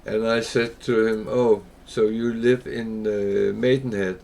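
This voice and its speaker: A man's voice: fairly low pitch, little pitch movement, unhurried, creaky, a little mellow. A man from Denmark with a Danish accent, chatting casually and hesitantly while livestreaming a game. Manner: chatty, rambling, hesitant with fillers. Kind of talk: casual gameplay livestream chat.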